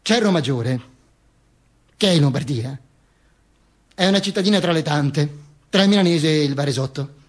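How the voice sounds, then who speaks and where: Radio theatre: high-pitched dead dictator narrating his own afterlife played by a man; wry, mock-solemn, halting, repetitive. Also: lively intonation, slightly rough. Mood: confiding, nostalgic. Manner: stammering, repetitive, self-correcting, mock-solemn. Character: Mussolini's talking corpse, wry, pompous, rambling storyteller. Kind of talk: theatrical monologue.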